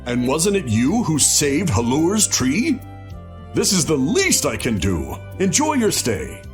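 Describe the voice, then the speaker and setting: A man's voice: fairly high pitch, wildly swooping pitch, slow pace, soft background music, full character voice. A man playing an obliging, frank innkeeper in a video game, polite and plain-spoken. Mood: helpful, frank. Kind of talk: English video game dub.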